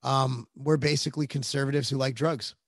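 A man's voice: medium pitch, fairly even intonation, fairly quick, no room sound. A blunt, emphatic middle-aged man talks politics on a podcast and swears casually. His voice sits in the middle range and sounds dry and close to the mic. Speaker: podcast host.

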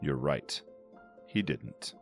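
A man's voice: a bass voice, lively intonation, very slow, faint music behind, full character voice. A man playing a loyal, dryly teasing lieutenant in audio drama dialogue. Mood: steady, wry.

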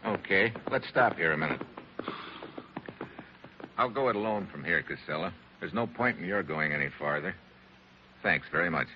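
An actor playing a tough, wisecracking American special agent in a 1940s radio drama, with clipped, slangy, wry delivery. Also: male voice, sits low, expressive, normal speed, long pauses. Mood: brisk, casual. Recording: muffled, noisy 1940s radio recording.

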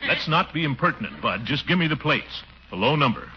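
A man's voice: a little high, expressive, brisk, lightly acted. Man acting a pompous, blustery uncle, swooping voice. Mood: indignant bluster. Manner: pompous, blustering, exclamatory, muttering to himself. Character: pompous, blustery middle-aged uncle and city water commissioner. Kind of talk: 1940s radio comedy acting. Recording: muffled, noisy 1940s radio recording.

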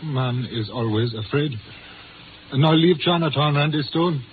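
A man's voice: fairly high pitch, close to an everyday voice. Actor as stern formal father, noisy old radio. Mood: guarded warning. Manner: formal, measured, sententious. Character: stern, fearful Chinese restaurant-owner father, formal and guarded. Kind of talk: 1950s radio drama acting. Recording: noisy, tinny 1950s radio recording.

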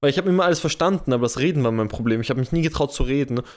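A man's voice: medium pitch, animated, racing. A young man with an Austrian German accent co-hosting a podcast, chatting casually, self-deprecating and rambling with restarts. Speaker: podcast co-host. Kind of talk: casual chat.

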